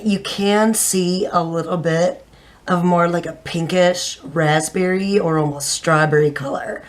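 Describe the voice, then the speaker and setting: A woman in her thirties, slightly hoarse from little sleep, giving a frank, chatty hair dye review to a camera at home. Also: lowish voice. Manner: frank and chatty, casual swearing. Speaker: beauty vlogger. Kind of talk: casual product review to camera. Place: home room with shelves, indoors.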